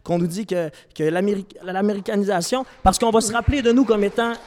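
A young man with a Québécois French accent speaks earnestly, stumbling and restarting as he works through his thoughts. His voice sits high for a man and carries a long hall reverb from a live venue. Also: fairly even intonation, darkish tone. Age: young adult. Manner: earnest, halting, many restarts. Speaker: singer-songwriter guest. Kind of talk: panel discussion before a live audience.

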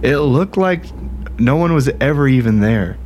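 An actor playing an aggrieved, self-dramatizing ghostwriter in a conversational, wry, indignant audio drama monologue. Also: male voice, mid-range voice, lively intonation, normal speed, a little mellow, slight background noise, natural voice. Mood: aggrieved, suspicious. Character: aggrieved, self-dramatizing male ghostwriter telling his grievances. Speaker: narrator and protagonist.

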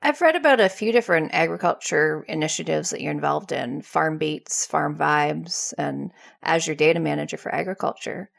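A woman hosting a podcast, reading a scripted intro and asking measured interview questions. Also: low-pitched, creaky. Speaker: podcast host.

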